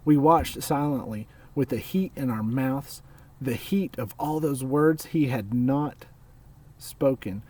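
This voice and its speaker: A man reading a children's story aloud in a measured way, with pauses between phrases. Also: medium pitch, darkish tone, breathy edge, far from the mic. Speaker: storybook reader.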